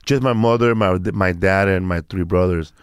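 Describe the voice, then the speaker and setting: A man's voice: low voice, moderate pace, smooth, no room sound, clean studio sound. A man in his fifties from Mexico, a comedian chatting as a guest and telling a loose, rambling story with many restarts. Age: 50s. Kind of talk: casual chat.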